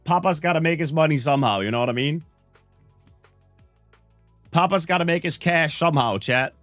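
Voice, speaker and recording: A man's voice: fairly high pitch, normal speed, a bit bright, dry recording, faint music behind. A man with a Danish accent, joking and rambling to his chat while he plays a game, a little under the weather. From Denmark. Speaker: streamer. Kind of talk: live-stream chat while playing a game.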